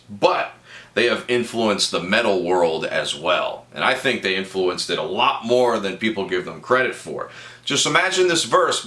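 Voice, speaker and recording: A man explaining a song's chords and harmonies as a guitar teacher, breaking in with playful, wry asides. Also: on the low side. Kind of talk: guitar tutorial with music analysis. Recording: echoey room with loud music. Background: loud guitar and band music.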